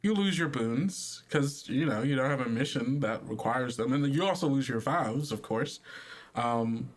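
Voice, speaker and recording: Male tabletop host, medium pitch, halting improvised delivery, thinking aloud. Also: expressive. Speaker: game master and podcast host. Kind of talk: improvised tabletop game narration.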